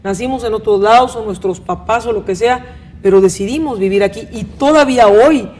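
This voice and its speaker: A woman's voice: a little high, brisk, darkish tone. A woman with a northern Mexican accent hosting a conversational panel interview, talking in a rambling, questioning way with frequent tag questions. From Monterrey, northern Mexico. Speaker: talk show host.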